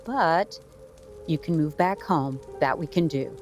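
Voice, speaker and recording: A woman's voice: sits low, takes it slowly, darkish tone, soft background music. An actress playing a firm, coaxing, practical middle-aged mother of grown sons in an audio drama. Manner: firm, coaxing, matter-of-fact.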